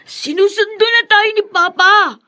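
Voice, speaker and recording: A woman acts as an affectionate, excited little boy in a radio drama. The voice is extremely high and bright, eager, childlike and pleading. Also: measured pace, exaggerated voice. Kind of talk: Filipino radio drama acting.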